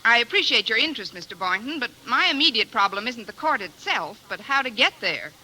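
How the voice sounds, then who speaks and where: An actress playing a sardonic high school English teacher in a 1950s radio sitcom, with dry, wisecracking delivery. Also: female voice, sing-song, a little fast, sharp tone, exaggerated voice. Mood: wry. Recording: noisy, crackly old radio recording.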